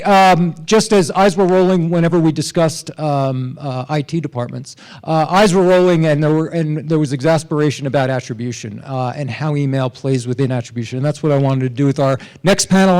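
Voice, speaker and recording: A man hosting a conference who gives announcements and introduces the next panel, speaking haltingly with many fillers. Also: on the high side, darkish tone, clear, resonant tone, low-quality recording. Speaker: conference host.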